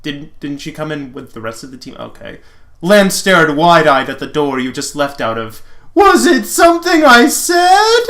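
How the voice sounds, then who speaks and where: A man's voice: a little high, normal speed, fairly bright tone. A man with a wry, self-mocking manner, reading fan fiction aloud as host with informal commentary and dramatic narration.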